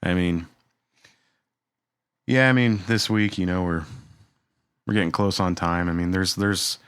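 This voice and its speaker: A young man co-hosting a podcast, telling stories in a relaxed way with lots of fillers. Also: low-pitched, measured pace, clear, resonant tone, no room sound, clean studio sound. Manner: relaxed storytelling, many fillers. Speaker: podcast co-host. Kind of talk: casual chat.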